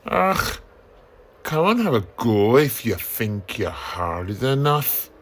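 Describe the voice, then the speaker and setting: A man voicing a rowdy, excitable clansman fighter in a fantasy story, exclaiming in dialect. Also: mid-range voice, slow, exaggerated voice. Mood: brash. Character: rowdy clansman fighter, brash then frightened.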